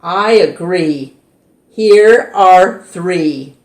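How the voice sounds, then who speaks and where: A woman's voice: on the low side, very slow, a little mellow. A woman voicing a young schoolboy in a storybook, in a raised childlike voice. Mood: sheepish. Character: young schoolboy, quick to anger then sorry. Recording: roomy echo.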